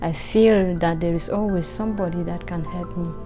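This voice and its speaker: A woman, introducing herself in a measured, steady way in an interview. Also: on the low side. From Cameroon. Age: 20s. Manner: slow, measured self-introduction. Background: background music.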